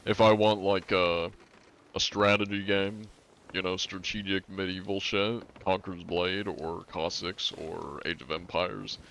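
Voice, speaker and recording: A man's voice: deep voice, fairly even intonation, unhurried, fairly bright tone. A man in his twenties streaming a game, chatting casually and reading out chat with lots of fillers. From northern United States. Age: 20s. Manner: rambling, filler-heavy, reading chat aloud. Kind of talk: casual live-stream chat while gaming.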